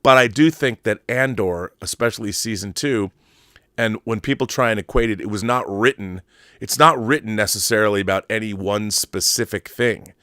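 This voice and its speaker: Middle-aged man, fairly deep voice, conversational, digressive, emphatic, casual host commentary, dry recording. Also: moderate pace, pushed and bright, no room sound. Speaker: host of a film and book commentary show. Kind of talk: casual rambling opinion commentary.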